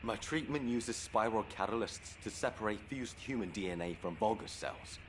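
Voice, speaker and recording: A man voicing an earnest scientist in a video game, explaining his treatment in a calm, measured way. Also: fairly low pitch, natural voice. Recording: clear background noise.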